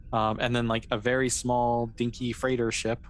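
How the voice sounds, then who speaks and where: A man's voice: medium pitch, flat delivery, normal speed. A man narrating a tabletop role-playing game as game master, in an informal, hesitant, piecemeal way. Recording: clear background noise.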